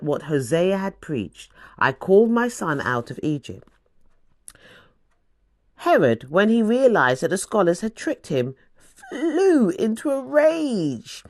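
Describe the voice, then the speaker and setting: A woman reading scripture as a dramatic audiobook narrator, with deliberate, emphatic storytelling phrasing. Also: lowish voice, no echo, clean studio sound. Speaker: scripture reader.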